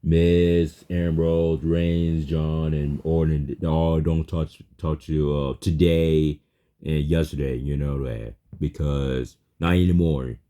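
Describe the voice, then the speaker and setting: Super deep dark mellow guy voice, casual chat, halting n repeats himself a lot. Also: steady tone. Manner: halting, repetitive, non-fluent phrasing. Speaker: chatbot user.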